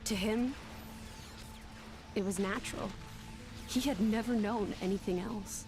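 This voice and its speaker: A gentle, earnest young heroine of a fantasy game, played by an actress, recounting things slowly and solemnly. Her voice sits at a middle pitch, with clear music behind it. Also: natural voice. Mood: solemn, reflective. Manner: measured, earnest, solemn storytelling. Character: gentle, solemn young woman, an ancient living weapon recounting her past. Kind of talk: video game cutscene voice acting.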